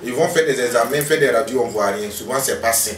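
A man's voice: mid-range voice, fast, reverberant, distant mic, noisy background. A man in his fifties, a traditional healer, speaking in an earnest, repetitive, self-promoting way in a casual interview on a veranda. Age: 50s. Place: outdoor veranda by a house wall.

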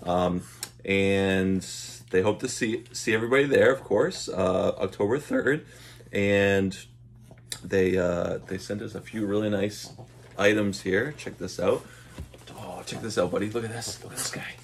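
A man's voice: fairly low pitch, steady tone, unhurried. A man in his thirties talking casually to camera as a vlogger, easygoing and a little hesitant, recorded at home. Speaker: vlogger and dog owner. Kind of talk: casual unboxing chat to camera. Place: small room at home, indoors. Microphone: camera mic at arm's length.

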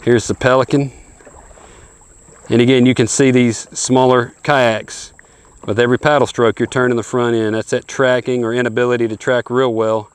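A man's voice: medium pitch, little pitch movement, normal speed, smooth. A man in his forties hosting a hunting show, giving an upbeat, folksy walkthrough of kayaks outdoors by a lake. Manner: upbeat, folksy salesman-like patter. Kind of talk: product review walkthrough. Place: outdoors on a lakeshore.

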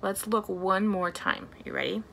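A woman in her thirties leading a storytime for young children as a librarian, talking in an animated way with simple, exaggerated phrasing. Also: medium pitch, normal speed, vocal fry, a little hiss or hum. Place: small plain indoor room.

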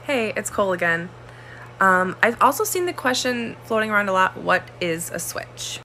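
A woman with a bright, clear voice explains things calmly and steadily in a short video. Her pitch stays in a middle range. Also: normal speed, a little hiss or hum, rough recording.

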